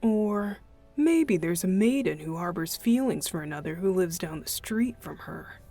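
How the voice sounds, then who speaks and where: A woman voicing a playful, mischievous young male deacon in an unhurried, teasing ASMR roleplay style. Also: fairly low pitch, expressive, dark tone, faint music behind. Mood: sly, musing. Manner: teasing, unhurried, chuckling, breathy asides.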